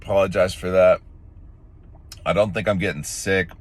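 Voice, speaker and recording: A man with a raspy, sore-throated voice, casually talking to his viewers in a rambling vlog with many fillers and restarts. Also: low voice, steady tone, moderate pace, no room sound. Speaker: vlogger. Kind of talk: casual morning vlog talk.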